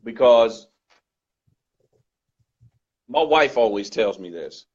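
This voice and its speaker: Loud, husky-voiced man in discussion. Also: mid-range voice, expressive, slow pace. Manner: earnest, emphatic, repeats points. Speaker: guest speaker. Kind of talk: conversational discussion. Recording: dull lo-fi recording.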